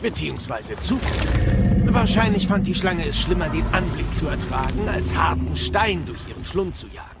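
Lively, creaky-voiced old sage. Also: male voice, a little high, moderate pace. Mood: wry, indignant. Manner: chatty, wry, theatrical storytelling. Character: talkative, cheeky old male sage and storyteller. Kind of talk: dramatised audio play acting. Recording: loud music under the voice.

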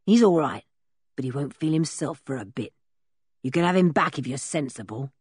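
A woman voicing a rough, menacing male captor in a storybook reading, curt, threatening and commanding. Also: low-pitched, lively intonation, normal speed, darkish tone, no echo, clean studio sound, pitched below the performer's own voice. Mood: gruff, dismissive.

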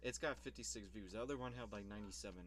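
A young man talks loosely and off the cuff, his voice sitting a little on the low side. He rambles from one thought to the next, swears casually and laughs at himself as he goes. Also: very fast, over music. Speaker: YouTuber reviewing his old videos. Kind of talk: casual rambling vlog commentary.

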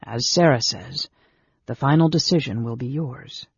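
Man playing a weary, husky half-elf adventurer. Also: mid-range voice, a little slow, no room sound, lo-fi sound, exaggerated voice. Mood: earnest. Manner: earnest, exasperated.

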